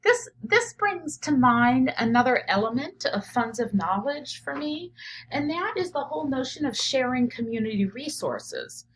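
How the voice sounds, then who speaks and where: A woman teacher reading a picture book aloud and pausing to reflect on it out loud, often checking in with "right?". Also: sits high, big pitch swings, low-quality recording. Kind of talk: read-aloud of a picture book with think-aloud commentary.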